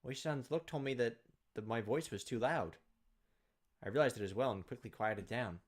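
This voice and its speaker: A man's voice: mid-range voice, moderate pace, slightly rough. A man reading visual novel narration aloud in a dramatic storytelling style as a gameplay host, stumbling now and then.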